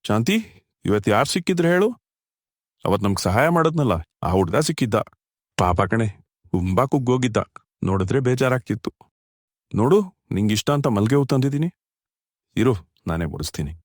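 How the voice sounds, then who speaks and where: A man's voice: medium pitch, animated, moderate pace, pauses often, no room sound, clean studio sound, lightly acted. A man playing a kindly, grieving older husband, an electrician, speaking in an earnest, fatherly way in a cartoon story. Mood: tender. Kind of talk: dramatic dialogue in a cartoon short story.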